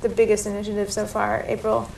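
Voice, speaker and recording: A woman's voice: medium pitch, completely flat delivery, quick pace, vocal fry, darkish tone, slight background noise. A woman hosting an interview, putting questions and introducing guests. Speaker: interviewer, host. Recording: somewhat distant from the mic.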